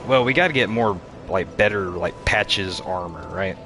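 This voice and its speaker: A man's voice: sits low, moderate pace, music in the background. A man in his thirties casually commentating his gameplay, chatting with viewers and laughing often. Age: 30s. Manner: laid-back, chats with viewers and laughs often. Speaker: streamer. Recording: steady background noise.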